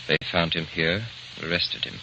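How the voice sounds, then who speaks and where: A man acting a composed, knowing bearer of grim news in an old radio drama, speaking deliberately. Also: sits low, fairly even intonation, vocal fry. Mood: grave, pointed. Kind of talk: 1930s-40s radio acting. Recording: muffled old radio recording, heavy hiss.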